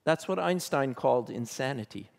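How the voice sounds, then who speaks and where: An older man, a bishop, preaching a sermon from a pulpit in a church sanctuary, measured and questioning in tone. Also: mid-range voice, animated, creaky. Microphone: pulpit microphone. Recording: long church reverb.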